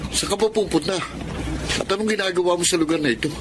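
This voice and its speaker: A man's voice: high-pitched, fast talker, background noise, lightly acted. A man acting a worried, protective family friend in a Filipino radio drama, speaking with urgent concern. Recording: loud vehicle ambience behind the voice.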